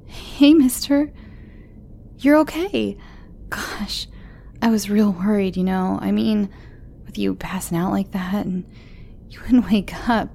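A woman voicing a shy, clingy teenage girl who stammers and speaks in breathy half-whispers. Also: mid-range voice, slow pace, long pauses, dark tone, slight background noise. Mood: eager, worried. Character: timid sweet teenage girl, eager and clingy.

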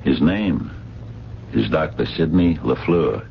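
A gruff amateur sleuth in an old radio mystery. The voice is low but moves around a lot, dry and terse with some hesitation. It has the dull sound of a vintage broadcast. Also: male voice, lively intonation, takes it slowly, a little hiss or hum, exaggerated voice. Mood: dry, grim. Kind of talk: 1970s radio drama acting.

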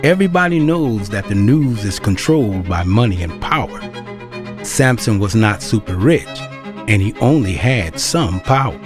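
A woman's voice: rumbling low, animated, moderate pace. A woman narrating a scripted audio drama as its storyteller. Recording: music behind the voice.

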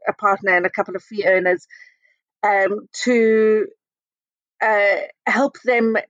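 A middle-aged woman from South Africa, a guest in a conversational interview, talking in a measured, thoughtful way with frequent ums and uhs. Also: mid-range voice, no echo, highs cut off. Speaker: interview guest, communications consultant.

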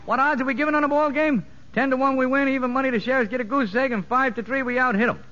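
An actor playing a needling, smart-alecky American convict bookmaker in 1950s radio drama, slangy, on a muffled, noisy old broadcast. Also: male voice, very high voice, fairly even intonation, fast talker, sharp tone. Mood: wisecracking.

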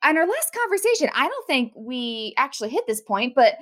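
A woman's voice: high voice, sing-song, fairly quick, a bit bright. A woman hosting a casual podcast interview, with an effusive, informal way of talking. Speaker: podcast host.

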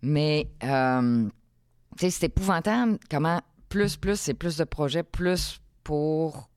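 A woman's voice: sits low, expressive, slow, darkish tone, clear, resonant tone, no echo, studio quality. A middle-aged woman with a Quebec French accent, talking casually as an interview guest, thinking aloud in broken phrases. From Quebec, Canada. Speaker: podcast guest, entrepreneur.

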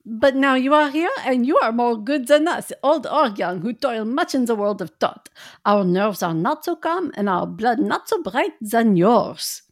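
A woman plays an older male professor with a put-on French accent. He speaks in stilted, grave phrases with dramatic pauses, kindly but urgent, and his pitch moves widely. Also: animated. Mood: earnest, kindly. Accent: mock French.

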